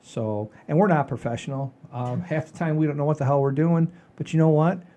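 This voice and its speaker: Mellow older man hosting a comedy podcast. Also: fairly high pitch, a little fast, lo-fi sound. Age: 60s. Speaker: podcast host. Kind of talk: casual comedy chat.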